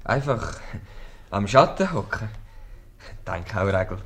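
An actor with a Swiss German accent playing an eager, impatient young suitor in a 1950s radio comedy. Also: male voice, on the low side, sing-song, slow pace. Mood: urging.

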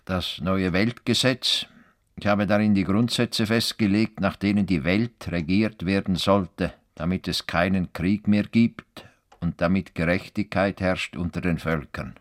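An actor playing a stubborn, idealistic older farmer in a radio play, speaking earnestly and formally with a Swiss German accent. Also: male voice, low voice. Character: stubborn, idealistic male farmer with grand plans.

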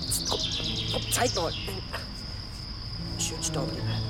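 An actress playing an anxious, excitable medieval peasant boy with a goat, pleading and scolding in Swiss German in a children's audio drama. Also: sits low, slow pace, heavily acted. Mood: coaxing. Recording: loud music bed behind voice. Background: music.

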